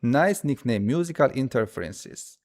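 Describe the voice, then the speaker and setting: A man who speaks as a hosts a casual live coding stream, thinking aloud haltingly and repeating his phrases as he demonstrates. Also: medium pitch, lively intonation, a little mellow. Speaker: livestream host.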